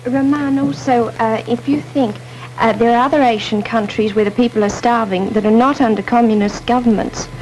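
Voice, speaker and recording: A teenage girl debates with confidence, pressing opponents with pointed questions. Her voice is fairly high. A faint hiss runs underneath. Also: steady tone, normal speed, a little mellow, low-quality recording. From Australia. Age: teens. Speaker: champion student debater. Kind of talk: panel debate.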